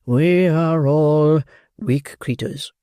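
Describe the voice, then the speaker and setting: A man's voice: on the high side, sing-song, a little mellow, no echo, studio quality, heavily acted. A man playing a pompous, ponderous beadle. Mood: pompous solicitous. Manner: slow, grave, pompous. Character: pompous middle-aged male parish beadle, self-important.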